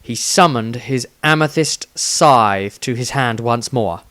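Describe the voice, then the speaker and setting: A man's voice: medium pitch, takes it slowly, a bit bright. A man reading his own fantasy story aloud as a scripted, dramatic narration with a theatrical delivery.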